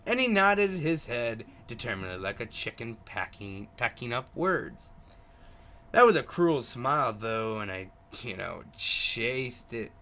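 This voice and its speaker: Male gaming host, bright forceful voice, reading story text aloud, tripping on words and repeating them, chatting casually between lines. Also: medium pitch. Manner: stumbles over words, repeats and retries pronunciations. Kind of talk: let's play read-aloud of a visual novel with casual asides.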